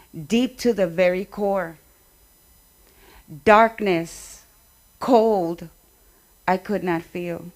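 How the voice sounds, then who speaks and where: A woman speaking at a community memorial event, delivering remarks and poetry in short, measured phrases. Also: mid-range voice, a little mellow.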